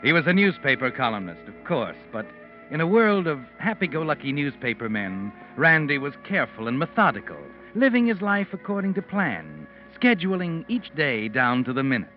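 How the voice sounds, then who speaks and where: An actor playing a sinister, all-knowing mystery narrator who speaks ominously in 1940s radio style. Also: male voice, on the high side, sing-song, moderate pace. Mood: sly, knowing. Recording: dull old radio recording, organ music.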